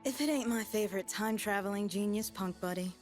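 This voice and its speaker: A woman's voice: mid-range voice, fast, darkish tone, soft background music, natural voice. A woman voicing a friendly, teasing sidekick in a fantasy game, speaking with easy familiarity.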